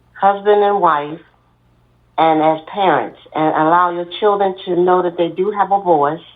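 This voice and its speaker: A woman, a mother and church deacon, giving earnest advice as a podcast guest. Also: fairly low pitch. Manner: earnest, advising, steady. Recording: tinny phone line.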